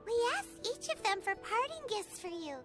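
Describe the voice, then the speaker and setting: A woman's voice: extremely high pitch, sing-song. A woman voicing a chirpy, blunt little floating girl companion in a video game cutscene. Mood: cheerful. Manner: bouncy, indignant, quick to retort. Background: game music.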